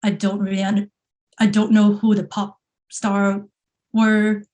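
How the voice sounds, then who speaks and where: A woman's voice: mid-range voice, monotone, measured pace, a little mellow. Podcast guest: Hong Kong woman in her thirties, Singapore-tinged English, reflective and casual. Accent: Hong Kong English with a touch of Singaporean. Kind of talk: casual interview conversation.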